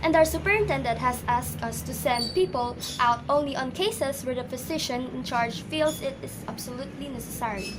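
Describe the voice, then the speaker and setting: A woman's voice: high-pitched, fairly quick, few pauses, background noise, lightly acted. A woman playing a polite, businesslike hospital receptionist in an amateur radio drama, formal and unruffled.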